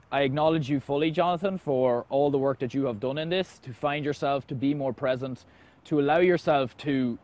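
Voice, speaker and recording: A man's voice: mid-range voice, a little mellow. Earnest man hosting, dull lo-fi sound. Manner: earnest, affirming. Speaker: host of a live video talk. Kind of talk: casual conversational hosting.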